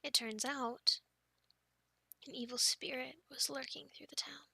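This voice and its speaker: A high, bright young female voice , acted by a woman, plays a friend sharing spooky stories in an ASMR roleplay. She is expressive and playful, slipping toward breathy softness. The sound is close and very dry. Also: unhurried, no room sound, heavily acted. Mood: hushed, solemn. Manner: expressive, teasing, breaking into breathy near-whispers.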